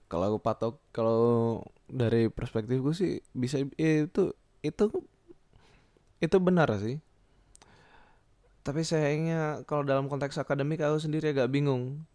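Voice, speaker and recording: A young man talks casually alone as a podcast host, rambling and halting as he thinks aloud and repeats himself. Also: medium pitch, fairly even intonation, mellow, no room sound. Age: young adult. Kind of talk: casual solo podcast monologue.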